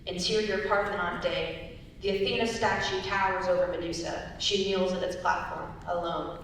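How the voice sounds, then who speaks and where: Recorded from far off in a rumbly, very reverberant hall, a young woman narrates a script. Her voice is on the low side with clear creak, reading stage directions. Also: fairly even intonation, moderate pace, fairly bright tone. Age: young adult. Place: large echoey indoor performance space. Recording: very echoey hall, distant mic, rumbly noise.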